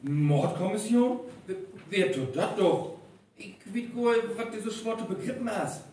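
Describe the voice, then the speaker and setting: A man's voice: high-pitched, expressive, unhurried, darkish tone. A man with a North Frisian accent playing a grumbling, reluctant local in a live radio play. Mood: incredulous. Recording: very echoey live stage, distant, faint noise.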